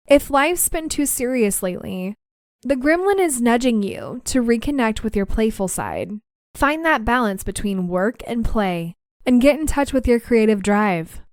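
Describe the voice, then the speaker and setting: A woman's voice: a little high, smooth, no room sound, clean studio sound. A young woman hosting a podcast, chatting casually and then reading listener stories aloud. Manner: chatty, laughs easily, reads stories aloud. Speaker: podcast host.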